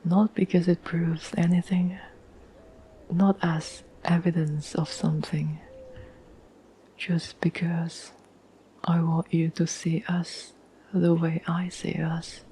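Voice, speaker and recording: Woman doing a soft whispery asmr girlfriend, gentle n earnest, lowish voice, really unhurried, bit of background noise. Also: flat delivery, rough recording. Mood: tender, earnest. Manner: gentle, slow, halting, earnest. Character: tender, devoted girlfriend reassuring an anxious partner.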